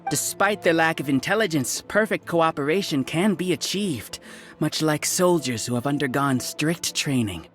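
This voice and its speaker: An actor playing an arrogant scholarly villain who rules a hive mind in a video game, speaking with grandiose, contemptuous, measured menace. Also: male voice, a little high, lively intonation, a bit bright. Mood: triumphant, menacing. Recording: background music under dialogue.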